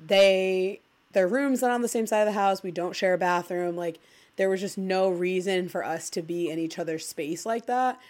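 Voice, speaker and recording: A woman's voice: lowish voice, fairly even intonation, moderate pace, darkish tone. A woman co-hosting a podcast, talking in a casual, chatty way full of fillers, a little congested as she recovers from illness. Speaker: podcast co-host. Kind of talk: casual chat.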